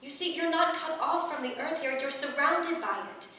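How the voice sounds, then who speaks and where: Woman acting bright, earnest heroine in echoey room. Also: high-pitched, recorded from a distance. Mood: coaxing, calm. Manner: earnest, coaxing, deliberate. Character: steady, encouraging young heroine with water powers. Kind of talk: staged reading stage acting. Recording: echoey room from afar, faint noise.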